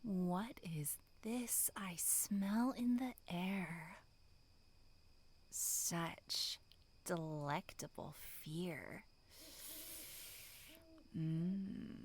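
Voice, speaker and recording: A woman voicing a sinister, playful demonic monster in dark ASMR, breathy, half-whispered, purring and teasing. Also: fairly low pitch, a little hiss or hum. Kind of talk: dark ASMR horror roleplay.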